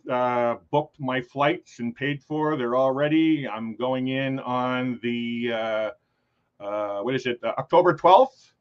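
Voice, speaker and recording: A man with a loud, mid-pitched voice chats casually as the host of a live stream. He is loose and jokey and drifts easily off track. The sound is dull and lo-fi. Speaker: livestream host. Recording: dull lo-fi livestream audio.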